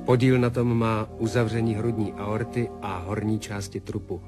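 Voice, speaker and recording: A man's voice: lowish voice, level tone, natural voice. An actor in a 1970s radio play as a detached medical man, giving precise, impersonal clinical bulletins. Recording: 1970s radio drama, music behind.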